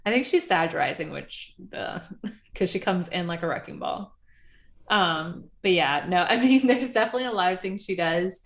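A woman's voice: fairly low pitch, normal speed. Chatty young woman, laughing and cursing. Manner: animated, laughs often, swears freely. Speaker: podcast co-host. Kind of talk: casual reality TV recap chat.

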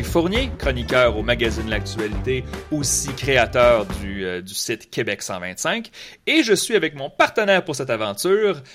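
A man with a Quebec French accent hosting a casual political podcast, relaxed and talkative, often repeating words as he searches for the next one. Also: medium pitch. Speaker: podcast host, political columnist. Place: in Quebec City.

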